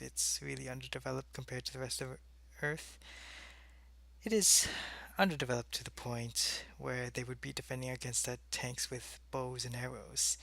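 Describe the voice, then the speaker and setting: Alien field agent, male actor: earnest, matter-of-fact briefer, creaky edge, very dry close sound, sci-fi roleplay. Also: mid-range voice, pushed and bright, dry recording. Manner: explanatory, measured, matter-of-fact. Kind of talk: scripted sci-fi audio roleplay.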